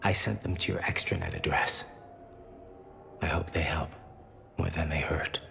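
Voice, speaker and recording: An actor playing a grieving young alien son in a video game, solemn and courteous, with a gravelly voice and formal, halting phrasing. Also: male voice, pushed and bright, faint music behind, exaggerated voice. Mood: courteous, subdued. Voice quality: gravelly, very low voice. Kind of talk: video game cutscene acting.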